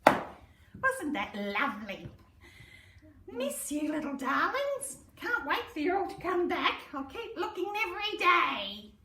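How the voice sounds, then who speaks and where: A woman's voice: high voice, very animated, slow, sharp tone, slight background noise. An Australian woman reads a children's storybook aloud in a sing-song, affectionate, theatrical way, as if to small children. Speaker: primary school story reader. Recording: roomy echo, distant microphone.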